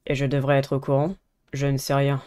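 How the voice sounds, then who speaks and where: A woman's voice: extremely low pitch, monotone, a little fast, mellow, smooth, pitched below the performer's own voice. A woman voicing a surly, dismissive teenage schoolboy in a visual novel, curt and irritated.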